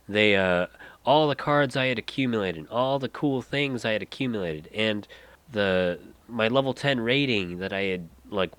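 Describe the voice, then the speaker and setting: A man's voice: lowish voice, a bit bright, no echo. A man hosting a solo podcast, congested and nasal from a head cold, rambling, trailing off and restarting mid-sentence. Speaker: podcast host.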